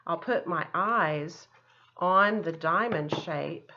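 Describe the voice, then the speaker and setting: A woman with a slightly low voice gives a casual art lesson for children. She is chatty and encouraging, explaining each step as she works. The recording sounds a bit dull and muffled. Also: slow pace, bright, forceful tone. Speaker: art teacher giving a demonstration.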